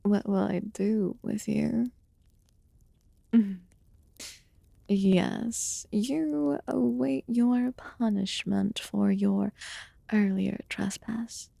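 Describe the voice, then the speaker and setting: A woman voicing an aloof, curious fae witch healer in a fantasy ASMR roleplay, speaking formally and softly, often dropping into a whisper. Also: medium pitch, gravelly, dry recording, exaggerated voice. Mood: amused, curious. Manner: formal, archaic, deliberate phrasing.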